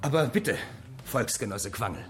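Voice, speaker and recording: A man's voice: medium pitch, animated, normal speed, close to an everyday voice. An actor playing a formal, officious chairman of a wartime factory meeting in a radio drama.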